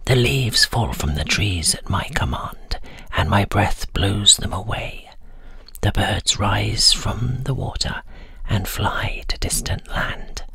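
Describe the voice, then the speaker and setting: A woman voicing an icy, boastful old male winter spirit in a slow storybook tale. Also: a bass voice, animated, vocal fry, sharp tone, slightly rough, no room sound, whispered, pitched below the performer's own voice, heavily acted.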